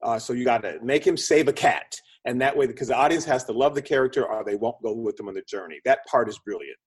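A man's voice: medium pitch, expressive, quick pace, slightly rough. A man speaking conversationally as an interview guest, explaining ideas like a storyteller and acting out quotes. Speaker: guest, film producer and script consultant.

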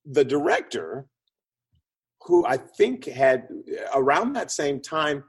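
A man's voice: on the high side, big pitch swings, takes it slowly, slight background noise. A man speaking conversationally as an interview guest, explaining ideas like a storyteller and acting out quotes. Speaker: guest, film producer and script consultant.

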